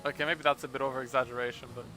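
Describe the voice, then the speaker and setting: A man's voice: medium pitch, fairly even intonation, very fast, bright, forceful tone, faint music behind. A man commentating a sim-racing livestream in casual chat, laughing often and trailing off with fillers. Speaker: race commentator.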